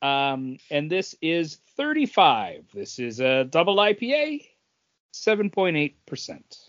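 A man's voice: on the high side, huge pitch swings, measured pace, fairly bright tone, no echo. A man co-hosting a podcast in a casual, bantering conversational style. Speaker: podcast co-host. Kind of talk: casual chat. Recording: dull lo-fi dry close recording.

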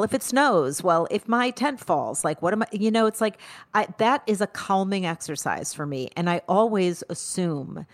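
Low-voiced woman co-hosting a podcast. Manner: thinking aloud with restarts, quick bursts. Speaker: podcast co-host. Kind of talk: casual conversation.

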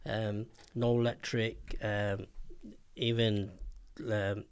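A man's voice: on the low side, level tone, extremely slow, clear, resonant tone. A man in his fifties with a Manchester accent, telling his story as a podcast guest, hesitant with lots of ums and blunt slang. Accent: Mancunian English. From Manchester, England. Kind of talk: casual storytelling interview.

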